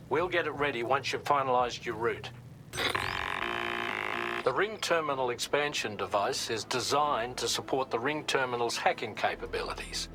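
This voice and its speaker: An actor playing a composed operations officer, giving measured, instructive mission briefings in a video game. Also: male voice, mid-range voice, bright, forceful tone, poor audio.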